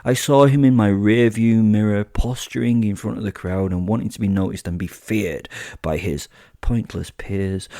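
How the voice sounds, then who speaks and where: Man voicing a low-voiced, gloating werewolf killer. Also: gravelly, dark tone, no echo, studio quality. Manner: theatrical, savouring, wordy and alliterative. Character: sadistic gloating male werewolf killer telling his hunt. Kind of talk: first-person horror audio drama narration.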